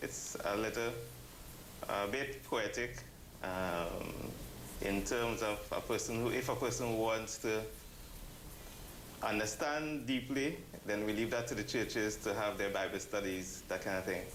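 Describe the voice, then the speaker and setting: A man answering as an interview guest, halting and phrase by phrase. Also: fairly low pitch, steady tone, fairly bright tone. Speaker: interview guest, event committee member. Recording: roomy echo, faint ambient noise.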